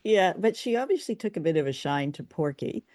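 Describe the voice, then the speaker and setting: A woman with a notably low voice chats as a co-host. Her talk is casual and conversational, and the sound is dry, with hardly any room around it. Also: big pitch swings, fairly quick, a little mellow, no echo. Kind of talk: casual chat reviewing radio drama.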